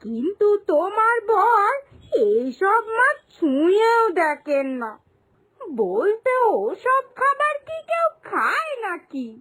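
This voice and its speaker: A woman's voice: very high voice, big pitch swings, takes it slowly, a bit bright, exaggerated voice. A woman voicing a worried, disapproving mother-in-law in a moral-story cartoon, with plaintive, fretful questioning.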